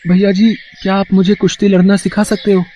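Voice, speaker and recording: A man's voice: high-pitched, little pitch movement, fairly quick, mellow, slight background noise, lightly acted. A man voicing an earnest, devout young village lad in a cartoon moral story, speaking respectfully. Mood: pleading. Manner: pleading, respectful. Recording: dull, lo-fi sound.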